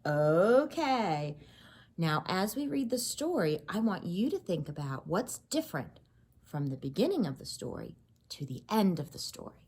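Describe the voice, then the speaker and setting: A woman with a husky voice reading a children's story aloud expressively, pausing to explain and ask questions. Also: fairly low pitch. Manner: expressive, explains and asks questions to young listeners. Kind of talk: animated read-aloud of a children's story with asides.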